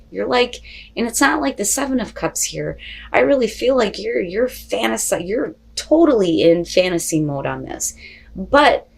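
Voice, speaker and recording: A woman hosting an unscripted tarot reading, talking straight to viewers in an expressive, conversational way with rhetorical questions and salty language. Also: medium pitch, vocal fry. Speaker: tarot reader and host.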